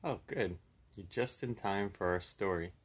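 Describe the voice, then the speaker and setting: A man's voice: deep voice, measured pace, slightly rough. A man in his thirties hosting a puppet show, chatting casually and reading a horror story aloud.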